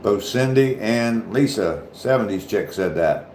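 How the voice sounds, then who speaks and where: Deep-voiced southern Ohio man, easygoing. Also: expressive, a little slow, a little mellow, echoey room, slight background noise. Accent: southern Ohio, slight Appalachian touch. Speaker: livestream host. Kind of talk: casual livestream chat reading comments.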